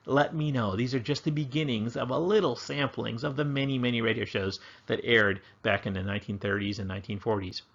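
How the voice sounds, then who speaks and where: An American man explaining history in a relaxed, casual lesson, with fillers and restarts. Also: mid-range voice, vocal fry, highs cut off. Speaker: teacher.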